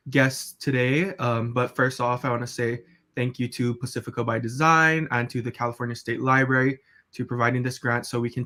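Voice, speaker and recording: A young man from Hawaii in his twenties hosting a casual podcast, relaxed and halting, with many fillers. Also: medium pitch. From Kauai, Hawaii. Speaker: podcast host.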